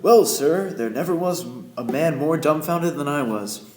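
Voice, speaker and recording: A man's voice: fairly high pitch, expressive, unhurried. A man playing a practical, boastful Yankee factory boss narrating his tale in an old-time radio play. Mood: wry, recounting. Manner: folksy, boastful. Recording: roomy sound under loud piano music. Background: piano music.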